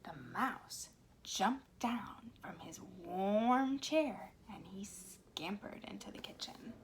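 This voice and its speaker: A woman reading to young children in an expressive, deliberate way with animated phrasing and dramatic pauses. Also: mid-range voice, a little hiss or hum. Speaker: speech pathologist and children's storyteller. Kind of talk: expressive read-aloud storytelling for young children.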